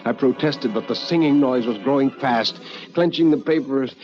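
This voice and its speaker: An actor playing an elderly, anxious but philosophical man, narrating in a deliberate, literary manner for radio drama. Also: medium pitch, natural voice. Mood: agitated, theatrical. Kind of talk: old-time radio dramatic reading. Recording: muffled vintage radio recording with music.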